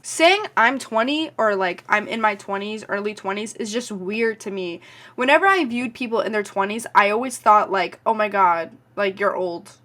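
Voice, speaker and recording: A woman's voice: medium pitch, normal speed, bright, forceful tone. Chatty nineteen-year-old Canadian woman. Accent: Canadian English. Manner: chatty, frequent "like" and "um" fillers. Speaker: vlogger and podcast host. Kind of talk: casual rambling monologue.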